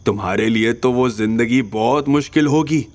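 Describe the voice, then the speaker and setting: A man's voice: medium pitch, moderate pace, lightly acted. A man playing a rich, arrogant, lecherous villain in an audio drama, leering and condescending with a short temper. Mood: leering, smug. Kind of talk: Hindi audio drama dialogue. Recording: faint music behind.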